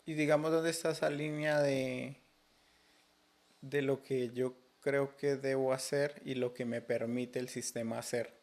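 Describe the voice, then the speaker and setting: A man's voice: mid-range voice, flat delivery, measured pace, darkish tone. A man hosting a podcast interview, asking questions in a hesitant way with many restarts. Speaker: podcast host.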